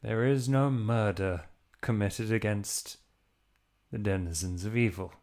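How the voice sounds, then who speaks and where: Stern priest and monster hunter played by a man: low, very deliberate pace, defiant, tabletop horror game. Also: darkish tone. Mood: guarded defiance.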